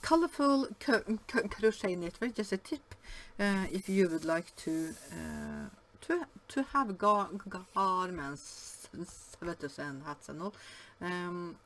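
A woman from Norway with a Norwegian accent talks slowly and a little low, stumbling over words with frequent fillers and restarts. She speaks English as a second language in a relaxed home vlog, and faint room noise sits behind her. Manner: hesitant, many fillers and restarts. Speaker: yarn vlogger. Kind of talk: casual show-and-tell vlog. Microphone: camera above the table, hands only.

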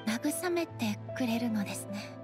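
Game role: dignified goddess ruler, calm and formal, slightly high voice, music underneath. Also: female voice, unhurried. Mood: solemn, composed. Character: dignified female ruler deity, composed, solemn, gentle. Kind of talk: Japanese anime-style game voice acting.

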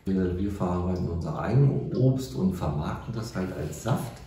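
A man's voice: sits low, animated, moderate pace, dark tone, recorded from a distance, a little hiss or hum. A middle-aged man, a juice maker, casually explaining his hands-on work. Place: small tiled juice-pressing workroom indoors. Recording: very echoey tiled room, off-mic, music.

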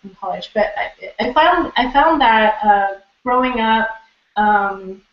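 A woman's voice: fairly high pitch, lively intonation, slow. A woman in her thirties, a poet from Los Angeles whose first language is Vietnamese, answering questions thoughtfully over a video call with many fillers. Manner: reflective, with many fillers and restarts. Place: small room indoors. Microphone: laptop webcam on video call. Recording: echoey, dull video call audio.

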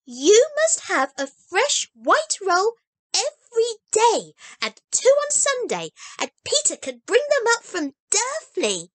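Woman putting on a super high excited little girl, gushing and gleeful, dry close sound. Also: slow pace, no room sound, heavily acted, poor audio. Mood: eager gleeful. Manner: bubbly, gleeful, breathless rush.

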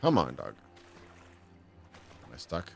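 Deep-voiced man chatting while gaming. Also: huge pitch swings, takes it slowly, vocal fry, darkish tone. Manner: chatty, laughs often, reads chat aloud. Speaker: livestream gamer. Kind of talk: casual let's-play chat. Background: faint game music.

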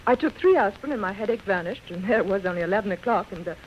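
A woman's voice: medium pitch, expressive, rapid-fire, natural voice. A young woman playing a breezy, evasive society daughter in a 1930s radio drama. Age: young adult. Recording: muffled, noisy old radio recording.

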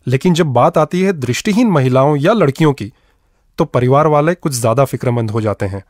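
A man presenting a radio programme with scripted, expressive and measured narration. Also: mid-range voice, no room sound, studio quality.

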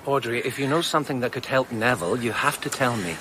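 An actor playing an earnest, persistent amateur detective in a radio drama, questioning insistently. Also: male voice, medium pitch, expressive, quick pace, noisy background, natural voice. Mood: gently probing.

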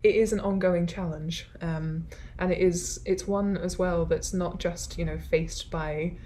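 A woman's voice: lowish voice, fairly even intonation, a little slow, warm tone, slight background noise. A woman with a Scottish accent, a social science academic answering questions thoughtfully and self-deprecatingly in a conversational way after a talk. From Scotland.

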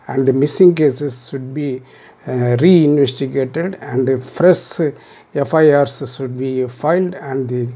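A man in his sixties with a South Indian accent giving unscripted commentary to camera in formal, deliberate, halting phrasing. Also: medium pitch, mellow, breathy edge. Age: 60s. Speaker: video commentator. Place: small room indoors at home.

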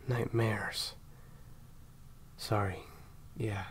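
A man acting in an intimate audio roleplay as a caring, awkward handler who speaks softly and haltingly to an injured partner. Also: fairly low pitch, fairly even intonation, a little hiss or hum. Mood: concerned, gentle. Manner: hesitant, halting, soft-spoken. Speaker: voice actor.

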